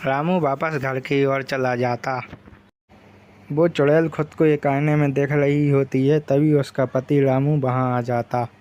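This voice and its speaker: A man's voice: medium pitch, flat delivery, measured pace, a little mellow, highs cut off, slight background noise. A man reading a scripted folk tale as the narrator. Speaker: story narrator.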